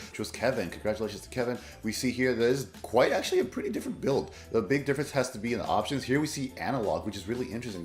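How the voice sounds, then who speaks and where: A hoarse man who has nearly lost his voice, rambling through casual commentary on card game tournament results as a content creator, with fillers and self-corrections. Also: lowish voice, roomy sound.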